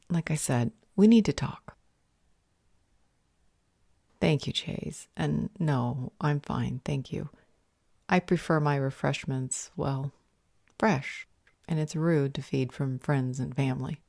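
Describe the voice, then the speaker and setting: A woman acting a composed, cryptic vampire healer in an audio roleplay drama, speaking in a measured, polite and dryly sarcastic way. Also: sits low, gravelly, a little mellow, dry recording, clean studio sound, full character voice. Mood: polite, insistent.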